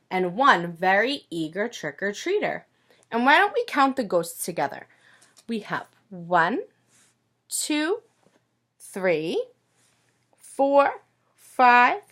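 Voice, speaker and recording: A woman teacher reading a picture book aloud to young children in an expressive, sing-song way, pausing to ask them questions. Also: fairly high pitch.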